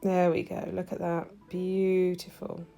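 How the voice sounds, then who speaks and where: A woman with a stuffy, nasal voice, chatting warmly through a nail tutorial as she works. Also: fairly low pitch, monotone, a little slow, gravelly, faint music behind. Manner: chatty, gushing, talks while working. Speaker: nail technician demonstrating gel polish. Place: home nail studio.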